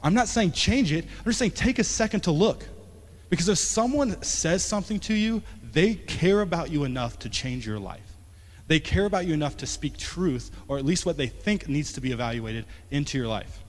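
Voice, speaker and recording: A man's voice: fairly high pitch, animated, normal speed. Young man preaching in a reverberant hall. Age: 20s. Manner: conversational, rising to emphatic then dropping soft. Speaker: pastor. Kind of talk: sermon. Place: church sanctuary. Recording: long church hall reverb.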